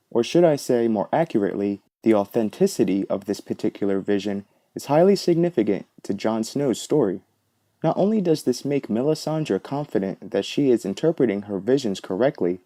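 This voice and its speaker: Male commentator for book breakdown podcast: medium pitch, deeply mellow, scripted and analytical. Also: no room sound. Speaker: podcast host.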